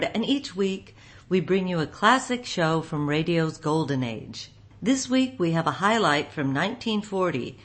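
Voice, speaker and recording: Lady radio host, kinda low voice, doing a scripted intro.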